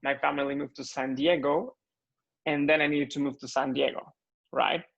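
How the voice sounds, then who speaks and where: A young man from Mexico with a Mexican accent, speaking English as a second language in an earnest interview chat, often pausing with fillers to find his words. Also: a little high, steady tone, vocal fry, fairly bright tone, highs cut off. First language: Spanish. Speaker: floral designer guest.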